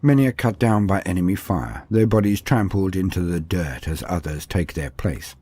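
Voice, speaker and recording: A man's voice: deep voice, moderate pace, mellow, slightly rough, dry recording. A man reading scripted fantasy battle lore as a narrator, with a grave, theatrical delivery. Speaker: narrator of a fantasy war-game lore video. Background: music.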